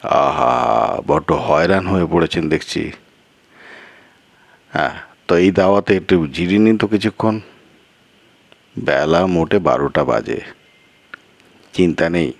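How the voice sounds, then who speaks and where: A man's voice: deep voice, lively intonation, unhurried, gravelly, long pauses, dry recording, full character voice. A man voicing a sly, evasive village local in a storytelling piece, with a drawling, folksy and boastful manner. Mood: soothing, nosy. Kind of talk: acted storytelling dialogue.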